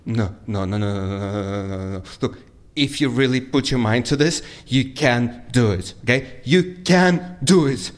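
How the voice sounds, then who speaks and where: An actor playing a scheming, anxious government agent with a businesslike, pressing manner in an audio drama. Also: male voice, mid-range voice, normal speed, close to an everyday voice. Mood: anxious pleading. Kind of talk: audio fiction acting.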